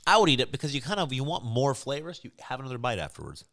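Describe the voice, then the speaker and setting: Lively man chatting through snack reviews. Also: medium pitch, quick pace. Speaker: podcast co-host. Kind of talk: casual chat reviewing snacks.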